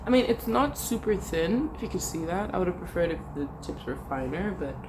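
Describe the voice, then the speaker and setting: A woman's voice: on the low side, animated, brisk, background noise. A young woman, a university student, chatting casually to her camera at home, rambling and trailing off with fillers. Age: young adult. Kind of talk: casual vlog chat to camera.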